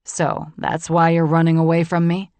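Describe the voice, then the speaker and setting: A woman voicing a brash, blunt adult man in an audiobook who speaks low and swears. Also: steady tone, unhurried, a little mellow, no room sound. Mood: gruff.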